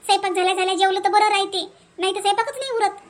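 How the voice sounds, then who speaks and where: Woman doing super high childlike cartoon village bride, varhadi marathi accent, put-upon, always grumbling. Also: steady tone, very fast. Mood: plaintive, self-pitying. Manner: plaintive, colloquial grumbling. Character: put-upon young newlywed village daughter-in-law, complaining and weary. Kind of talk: animated family comedy dialogue.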